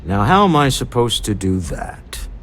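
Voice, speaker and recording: Suave low-voiced corporate executive. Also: male voice, huge pitch swings, unhurried, a bit bright, full character voice. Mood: smooth, firm. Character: suave, wealthy corporate executive, unflappable and wry negotiator. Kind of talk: video game dialogue acting.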